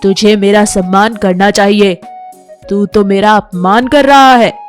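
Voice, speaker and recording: A woman's voice: medium pitch, steady tone, measured pace, soft background music, lightly acted. A woman voicing a proud, bossy male elephant who boasts and demands respect in a children's animal fable. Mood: boastful, indignant. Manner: pompous, demanding.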